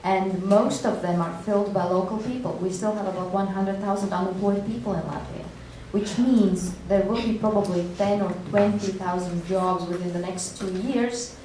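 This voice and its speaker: A woman explains her points as a panelist in a measured manner. She sounds far from the microphone in a strongly reverberant room, with her voice at an average pitch. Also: fairly even intonation, warm tone. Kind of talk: explanatory panel discussion speech. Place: indoor panel discussion room. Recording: distant echoey room, faint noise.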